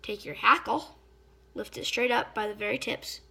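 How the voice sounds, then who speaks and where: Hoarse woman teaching fly tying. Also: on the high side, normal speed, pushed and bright. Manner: chatty, stops to search for words. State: slightly hoarse. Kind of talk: casual hands-on tutorial.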